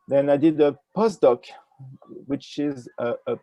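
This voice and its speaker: An older man with a French accent, answering interview questions in a halting way with many fillers, speaking over a video call with a wired earphone mic. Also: a little high, dark tone, no room sound, dull audio. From France. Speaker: ecology professor, interview guest. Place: indoors with a virtual nature backdrop.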